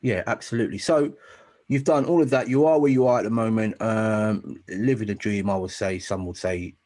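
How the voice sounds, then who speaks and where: Man, podcast host, low voice, encouraging, rambling questions. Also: moderate pace, a little mellow. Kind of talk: casual interview questions.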